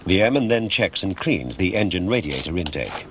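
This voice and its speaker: A man with a low-pitched voice delivers measured, scripted documentary narration. He explains things formally and precisely. The track is noisy, with a vehicle-like rumble underneath. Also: big pitch swings.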